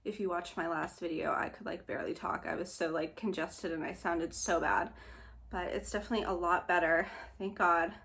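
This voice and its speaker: A woman's voice: lowish voice, steady tone, brisk, creaky, fairly bright tone. Chatty young woman, slightly nasal. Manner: chatty, laughs often, many fillers. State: a little short of breath. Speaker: vlogger. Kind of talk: casual pregnancy update vlog.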